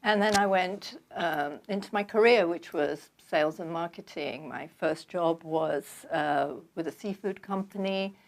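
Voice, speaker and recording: An older woman from London with a London accent, calmly telling her life story as a guest in an interview. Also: fairly low pitch, vocal fry. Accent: London English. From London, England. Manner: measured, reflective storytelling. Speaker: guest author. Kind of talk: conversational interview.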